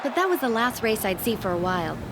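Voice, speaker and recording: A bold young woman with a high voice that swoops widely as she talks. She is a cocky, brisk ambulance driver from a racing game story, heard over loud vehicle noise. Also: very animated. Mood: reflective, wry. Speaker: paramedic and ambulance driver turned racer. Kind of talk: scripted video game cutscene acting.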